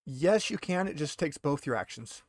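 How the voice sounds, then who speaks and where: A man running a tabletop roleplaying game, explaining rules and narrating in a casual, halting way as he thinks aloud. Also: a little high, expressive, no room sound, clean studio sound. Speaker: game master.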